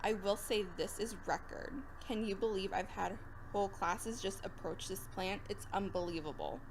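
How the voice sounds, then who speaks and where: A woman playing a brisk, opinionated academy botany teacher in an audio drama, instructive and matter-of-fact. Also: mid-range voice, fairly even intonation, noisy background, natural voice. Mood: amused, instructive.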